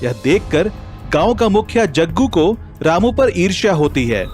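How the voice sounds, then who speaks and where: Man, story narrator, high pitch, lively scripted delivery, music behind. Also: normal speed.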